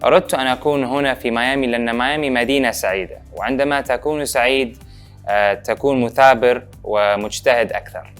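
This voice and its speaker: A man from Kuwait giving a brisk, friendly introduction in a promotional video. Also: mid-range voice, fairly bright tone, clean tone, soft background music. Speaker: startup team member.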